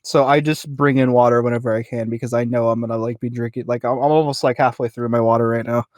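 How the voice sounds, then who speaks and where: A person talks casually with a hesitant, halting delivery. The voice is recorded in a small closet, so it sounds dry with almost no echo. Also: medium pitch, steady tone, a little mellow. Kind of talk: casual chat.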